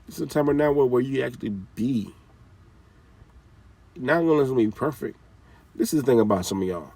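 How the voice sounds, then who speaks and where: Gravelly man lecturing from a distance. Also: mid-range voice, lively intonation, normal speed, mellow, dry recording. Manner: direct, scolding, repeats phrases, casual slang. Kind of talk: informal motivational talk.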